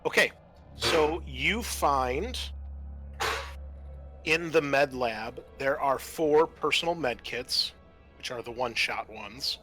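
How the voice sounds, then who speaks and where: A man's voice: medium pitch, slow pace, pauses often, sharp tone, faint music behind. A man acting as game master of a tabletop roleplaying game, talking casually to the players.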